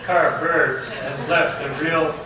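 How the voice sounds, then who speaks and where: A man giving an informal acceptance speech in a halting way, pausing often mid-sentence. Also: a little high, fairly even intonation, crowd noise. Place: large banquet room over microphone. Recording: echoey banquet room, distant, noisy.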